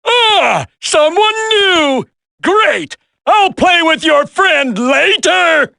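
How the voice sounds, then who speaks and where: A man's voice: very high voice, big pitch swings, very slow, gravelly, sharp tone, no room sound, clean studio sound, full character voice. A man voicing a gleeful, sadistic, childishly taunting video game villain with a cackling, singsong delivery. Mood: manic glee.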